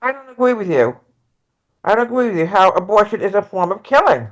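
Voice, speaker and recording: An earnest progressive woman , acted by a woman, stands as a presidential candidate in a stage-play debate. She speaks at a middle pitch and stumbles a little, repeating phrases as she states her principles. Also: big pitch swings, fairly quick, lightly acted, low-quality recording. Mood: argumentative. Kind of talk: amateur table read of a stage play.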